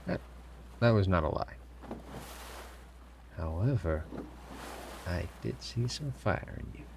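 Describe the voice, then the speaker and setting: A man playing a sly, commanding pirate captain in an audio roleplay, smugly amused and theatrical, with deliberate, formal phrasing, teasing pauses and a gravelly voice. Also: low-pitched, lively intonation, background noise, exaggerated voice. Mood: measured approval. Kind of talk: scripted immersive audio roleplay addressed to listener.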